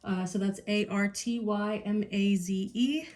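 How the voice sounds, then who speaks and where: A woman with a Pittsburgh accent hosting a casual craft tutorial at home, talking in an unhurried, chatty way with many ums and small delighted asides. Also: mid-range voice, steady tone, a little mellow.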